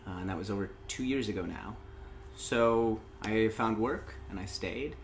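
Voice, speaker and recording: A man from Indiana, a guest talking casually about his life, unhurried and dotted with ums. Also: lowish voice, a little hiss or hum. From Indiana, USA. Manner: relaxed storytelling with frequent "um" and "uh". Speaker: interview guest, open mic host. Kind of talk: casual interview.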